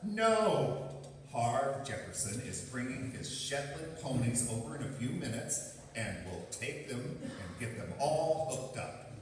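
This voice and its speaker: A man's voice: mid-range voice, big pitch swings, unhurried. A man playing a stubborn, cocksure small-town candidate in a live radio play. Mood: businesslike. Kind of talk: live radio theatre acting. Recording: very echoey noisy hall, distant mic.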